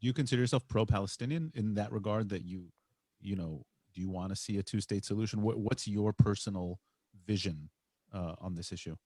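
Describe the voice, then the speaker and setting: A male podcast host with a fairly low voice asks questions, thinking aloud and stumbling over his words. The recording is close and dry. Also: brisk, warm tone, slightly rough, no echo. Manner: stammering restarts, thinking aloud with many "you know"s. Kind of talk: casual interview talk.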